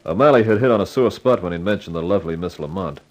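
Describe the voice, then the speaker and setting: An actor playing a cocky, wry amateur sleuth in a slangy 1950s radio detective drama, both narrating and in dialogue. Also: male voice, low-pitched, fast talker, darkish tone. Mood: wry, brooding. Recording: vintage 1950s radio recording.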